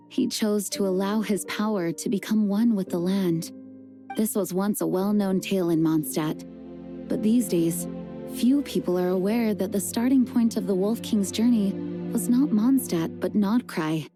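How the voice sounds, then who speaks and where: An actress playing a composed, dutiful adult woman knight who leads, speaking in a measured, earnest and formal way. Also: mid-range voice, close to an everyday voice. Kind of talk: video game voice acting. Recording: game music under the voice. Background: game music.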